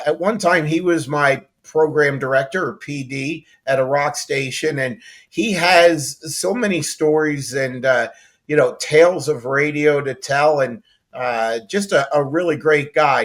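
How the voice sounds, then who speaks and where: Higher-pitched male talk show host. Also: a little slow, a bit bright. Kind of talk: conversational interview.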